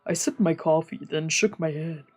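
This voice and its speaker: A woman's voice: deep voice, moderate pace, a little mellow, slightly rough. A woman streamer reading scripted visual novel narration aloud.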